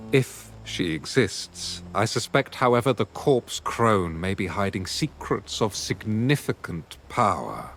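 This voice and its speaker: A man playing a guarded, sceptical adventurer in a fantasy video game, speaking in a measured, formal, cautioning way. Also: fairly low pitch, a bit bright, slight background noise, full character voice. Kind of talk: video game dialogue acting.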